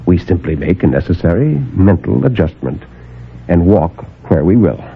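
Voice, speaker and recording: An actor playing a calm, superior superhuman guardian, speaking with measured, lofty condescension in a 1950s radio drama. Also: male voice, deep voice, gravelly, slight background noise, exaggerated voice. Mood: calm, condescending. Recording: narrowband old AM radio recording.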